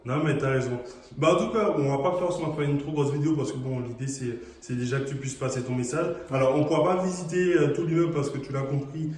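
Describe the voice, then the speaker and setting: Chatty host in an echoey room. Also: male voice, a little high, fast, few pauses. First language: not French. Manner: chatty, relaxed, encouraging, many fillers. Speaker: host, real estate coach. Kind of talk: casual interview.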